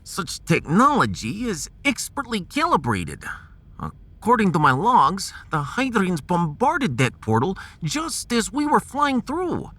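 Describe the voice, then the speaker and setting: A man's voice: sits high, wildly swooping pitch, pushed and bright, pitched above the performer's own voice, full character voice. A man voicing a fussy, gloomy Russian science officer in a sci-fi audio drama, with a Russian accent and pedantic manner. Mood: explaining. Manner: pedantic, tag questions, drawn-out phrasing.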